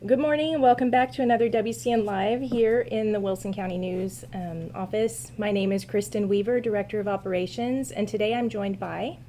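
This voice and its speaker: Soft-spoken woman hosting. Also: medium pitch, steady tone, fairly quick, few pauses, slight background noise. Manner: friendly, chatty, with frequent ums. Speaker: host. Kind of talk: casual livestream chat. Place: small newspaper office.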